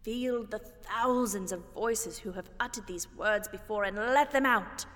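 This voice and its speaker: A woman's voice: medium pitch, a little slow, a bit bright, in a large hall, lightly acted. A woman playing a haughty, exacting grande dame of classical theatre, pompous, precise and scolding. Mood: stern coaching.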